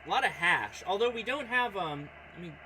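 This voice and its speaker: Man livestreaming casually over clear background noise. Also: high-pitched, lively intonation, fairly quick, bright, forceful tone, rough recording. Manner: distracted, thinking aloud. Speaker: amateur radio streamer. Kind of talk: casual livestream chat while operating a radio.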